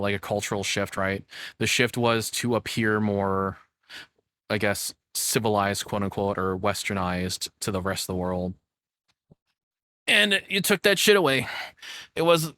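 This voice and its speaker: A man's voice: lowish voice, fairly bright tone, no echo, studio quality. A man giving casual, off-the-cuff commentary as a reaction host, blunt and informal, swearing freely.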